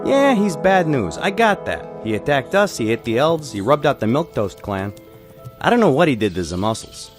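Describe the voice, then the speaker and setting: A man's voice: medium pitch, big pitch swings, moderate pace, soft background music, natural voice. A man playing a blunt, loyal, skeptical lieutenant in a motion comic, talking in a slangy, needling way.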